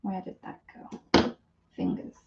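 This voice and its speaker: Female craft demonstrator, unhurried and chatty, self-deprecating, talks herself through each step; slightly muffled lo-fi sound. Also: very noisy. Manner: chatty, thinking aloud while working. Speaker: host of a live papercraft demonstration. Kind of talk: casual live craft tutorial chat.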